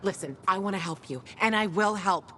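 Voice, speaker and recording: A woman's voice: mid-range voice, fairly quick, bright, forceful tone, slight background noise, close to an everyday voice. An actress playing a tough, sardonic young woman guiding a newcomer, brash and teasing, in a game cutscene. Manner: brash, teasing, swears freely.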